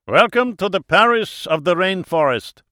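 Man putting on a booming, effusive older German man. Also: animated, normal speed, pushed and bright, no echo, studio quality. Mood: hearty greeting. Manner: hearty, effusive, falsely courteous. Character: big, jovial, unctuous older German expatriate man, theatrically gallant.

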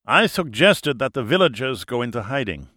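A man's voice: medium pitch, sing-song, moderate pace, bright, forceful tone, no echo, studio quality. A man voicing a big, jovial older German expatriate in an audiobook, with a German accent and falsely gallant manners. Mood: smooth, hospitable. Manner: hearty, effusive, falsely courteous.

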